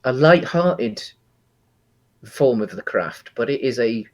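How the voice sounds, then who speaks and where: A British man with a medium-pitched voice talks as a podcast co-host. His delivery is casual and conversational, with light-hearted banter, as in an informal chat about games and films. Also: animated, slow, a little mellow.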